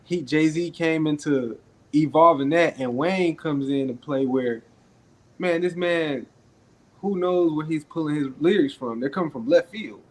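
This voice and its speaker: A young man with a naturally raspy voice, deliberately projecting so he isn't heard as too quiet. He talks laid-back with many fillers, on a podcast mic. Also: on the high side. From Atlanta, US. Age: young adult. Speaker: rapper guest. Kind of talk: casual interview talk.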